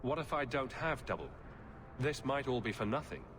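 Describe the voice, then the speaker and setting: A man's voice: mid-range voice, expressive, normal speed, lightly acted. A man voicing a wary, level-headed human adventurer in a video game. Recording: reverberant hall, noticeable ambient noise.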